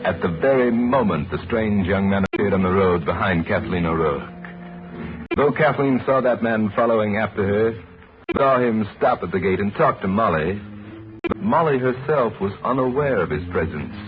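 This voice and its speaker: A man narrating a dramatic story in a solemn, measured old-time radio style. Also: on the low side, lively intonation, noisy background. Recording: old radio broadcast, dull sound. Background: music.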